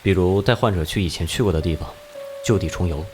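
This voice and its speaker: A man voicing a calm, measured doctor giving clinical advice in an animated drama. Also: low-pitched, natural voice. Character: calm, measured male doctor advising about a patient. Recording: faint music under the voice.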